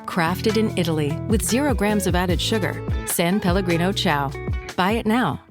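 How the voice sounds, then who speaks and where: A woman's voice: fairly low pitch, moderate pace. A woman reading a scripted advertisement as its announcer. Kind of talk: scripted ad read. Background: guitar music.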